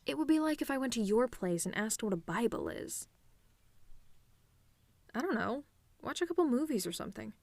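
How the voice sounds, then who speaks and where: A woman's voice: a little high, animated, normal speed, vocal fry, long pauses, a little mellow, no room sound. A woman playing a cocky, sarcastic tomboy demon with a casual, teasing delivery in an audio roleplay. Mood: dismissive, sardonic. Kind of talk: ASMR-style scripted roleplay monologue.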